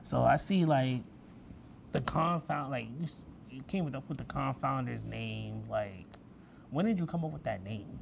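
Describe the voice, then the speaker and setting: A man's voice: mid-range voice, moderate pace, creaky, slight background noise. A young man hosting a student talk show, asking questions in laid-back slang like "bro" and "word.". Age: young adult. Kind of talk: casual interview.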